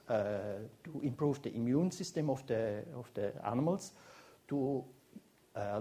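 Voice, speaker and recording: A man with a Swiss German accent giving a seminar lecture as a biochemist, talking haltingly with many restarts and fillers. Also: mid-range voice, warm tone. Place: lecture room before an audience.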